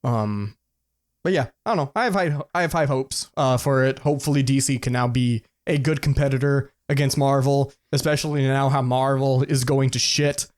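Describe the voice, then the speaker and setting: A man with an average-pitched voice chats as a podcast co-host. His delivery is informal and slangy, with swearing mixed into loosely hedged opinions. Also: normal speed, darkish tone. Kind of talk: casual podcast chat about movies.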